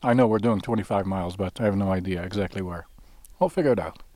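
A calm man from Israel talks about the hike in an easygoing way, giving short and steady answers. His low voice sounds dry and close to the mic. He is recorded outdoors on a clip-on mic. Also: fast, no room sound. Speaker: hiking partner, cousin. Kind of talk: casual conversation. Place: outdoors at a campsite. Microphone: clip-on mics.

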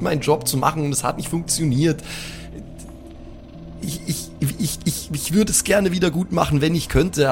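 A man playing an apologetic, excitable superhero with slangy, stammering speech in an improvised audio drama. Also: a little high, close to an everyday voice. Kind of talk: improvised German audio drama role-play.

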